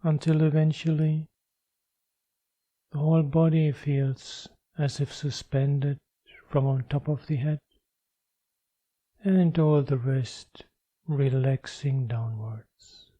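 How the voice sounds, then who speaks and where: A man leading a guided meditation as a teacher, speaking calmly and in measured short phrases with long pauses. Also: fairly high pitch, dry recording, lo-fi sound.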